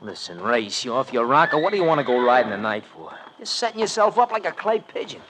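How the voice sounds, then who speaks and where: An actor playing a slangy, skeptical, excitable sidekick in an old radio mystery. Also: male voice, mid-range voice, fast talker, lightly acted. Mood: worried, skeptical. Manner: colloquial, wisecracking. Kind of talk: 1940s-50s radio mystery acting. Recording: faintly noisy vintage radio recording.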